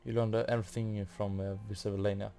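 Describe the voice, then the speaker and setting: A man's voice: fairly low pitch, little pitch movement, fast talker, creaky, warm tone. A man giving casual commentary as he plays through a game, hesitantly thinking aloud. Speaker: gamer narrating a quest playthrough.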